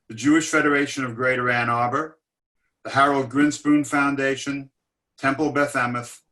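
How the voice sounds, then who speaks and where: A man speaking as an academic director, giving a formal, scripted introduction to an online lecture in measured phrasing. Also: mid-range voice, bright, forceful tone. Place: online webinar.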